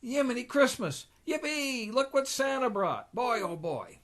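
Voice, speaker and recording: A man's voice: very high voice, sing-song, a little slow, fairly bright tone, pitched above the performer's own voice, exaggerated voice. A man voicing an eager, exclamatory young boy duck while reading a comic book aloud. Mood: overjoyed.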